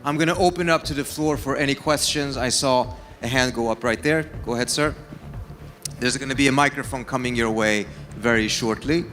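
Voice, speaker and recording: A man with a mid-pitched voice moderates a panel and handles audience questions. There is faint noise behind him. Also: brisk, fairly bright tone.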